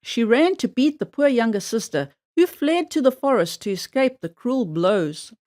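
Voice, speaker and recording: A woman narrates a children's fairy tale in a fairly high voice. Her intonation rises and falls with great animation, and her delivery is measured and expressive, like a storybook read-aloud.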